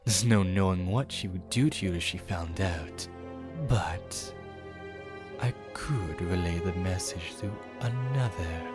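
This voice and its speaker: A man voicing a sly, mercenary wyvern guide in an animated fantasy, with formal, deliberate phrasing. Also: sits low, over music. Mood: sly, bargaining. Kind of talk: animated fantasy drama acting.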